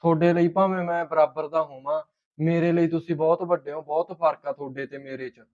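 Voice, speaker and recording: A man's voice: fairly high pitch, steady tone, fairly quick, a little mellow, lo-fi sound, close to an everyday voice. A man acting a humble, hesitant, deferential household servant in a short film, with a Punjabi accent.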